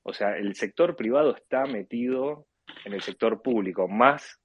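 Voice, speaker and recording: A man's voice: medium pitch, normal speed, slightly rough, no echo. A man from Argentina with an Argentine accent speaks casually in a political discussion, often hesitating and rephrasing as he goes. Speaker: caller in a live audio discussion. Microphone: live online audio chat, close and dry. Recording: dull lo-fi online audio.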